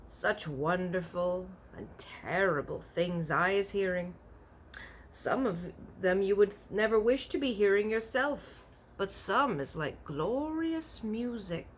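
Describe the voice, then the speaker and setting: A woman's voice: medium pitch, lively intonation, takes it slowly, a little hiss or hum, poor audio. A woman voicing a kindly, big-eared storybook giant who is earnest, proud and easily offended, talking in folksy broken grammar with invented words. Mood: solemn, earnest. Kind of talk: animated children's storybook character voice.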